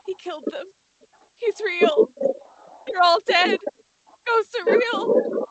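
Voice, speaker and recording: A woman playing a defiant but shaken young survivor in a horror script table read, with drawn-out delivery. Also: very high voice, lo-fi sound, a crowd in the background. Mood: shocked, haunted.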